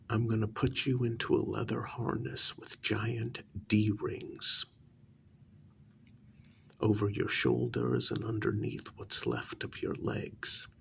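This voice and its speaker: Vengeful male vampire , performed by a man, ASMR role-play. Lowish, breathy, quietly threatening, very unhurried delivery, faint noise behind. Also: little pitch movement, full character voice. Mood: sadistic menace. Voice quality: breathy half-whisper with gravelly fry. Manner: slow, deliberate, quietly threatening with drawn-out pauses. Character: cold, vengeful male vampire lord, menacing and cruel.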